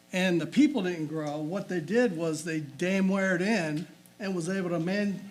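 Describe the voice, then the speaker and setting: A middle-aged man giving a blunt, plain-spoken public comment at a county council meeting. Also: high voice.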